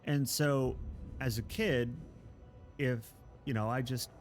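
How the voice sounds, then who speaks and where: A man's voice: mid-range voice, fairly even intonation, slow pace, faint music behind. Rambling man chatting while streaming. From Texas. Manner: rambling, self-deprecating, chuckles often. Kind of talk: casual chat while gaming.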